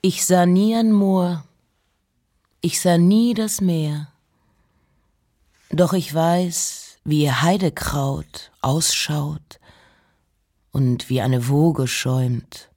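A woman giving a literary reading of poems and letters with measured, deliberate phrasing. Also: deep voice, warm tone.

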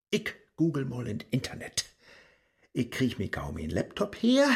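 Irritable scholar, husky, swooping pitch, theatrical, Low German accent, radio play. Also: male voice, heavily acted. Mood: grumbling. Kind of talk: rhymed radio play monologue and dialogue.

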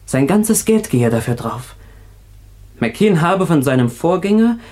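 Agitated male fugitive, fairly high voice, halting emotional confession, radio thriller monologue. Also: sing-song, a little mellow. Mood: tense, confessing. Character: agitated escaped convict confessing a hidden truth. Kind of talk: radio crime drama monologue.

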